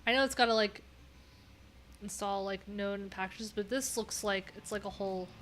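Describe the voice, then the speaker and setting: A woman's voice: medium pitch, steady tone, normal speed, fairly bright tone, a little hiss or hum. A woman hosting a casual coding livestream, talking candidly in a rambling way with lots of filler words. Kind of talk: casual live-stream chat.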